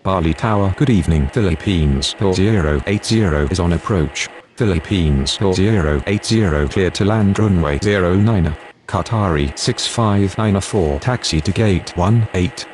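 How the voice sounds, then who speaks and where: A man's voice: sits low, normal speed, a little mellow, slight background noise, natural voice. A man voicing a procedural airport radio operator, speaking formal, clipped aviation phraseology in a game. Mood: businesslike. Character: procedural male airport controller and pilot radio voice. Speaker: air traffic control radio voice.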